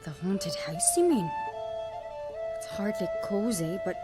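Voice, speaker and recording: A woman's voice: medium pitch, big pitch swings, takes it slowly. An actress playing a pragmatic, determined northern noblewoman in a video game, dry and practical in manner. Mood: wry, determined. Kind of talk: video game cutscene acting. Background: loud music.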